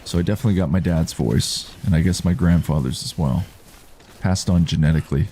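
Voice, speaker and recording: Man, deep mellow baritone, calm unhurried gameplay streamer, faint hiss behind. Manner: calm, unhurried, reads chat aloud. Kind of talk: relaxed live gameplay commentary.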